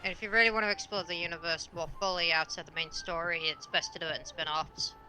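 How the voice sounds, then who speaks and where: A man in casual chat, speaking haltingly and repeating his phrases, with faint music behind him. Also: fairly high pitch, little pitch movement, sharp tone, low-quality recording.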